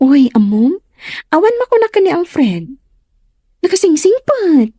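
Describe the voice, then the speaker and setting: A woman's voice: extremely high pitch, very animated, takes it slowly, mellow, no echo, clean studio sound, full character voice. A woman acting a chatty, teasing young friend and confidante in an Ilocano comedy drama. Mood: teasing, friendly.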